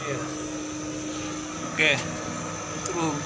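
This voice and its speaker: A man's voice: little pitch movement, very slow. A man with an Eastern Indonesian accent giving casual running commentary as a miner filming on a handheld phone. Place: cramped underground mine tunnel. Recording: loud rumbling noise, handheld phone.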